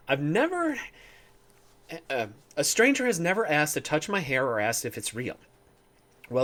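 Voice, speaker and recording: Northern US man with lively, wry delivery. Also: mid-range voice. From northern United States. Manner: reads each statement, then answers it with wry asides. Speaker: vlogger. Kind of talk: casual commentary reading a quiz aloud.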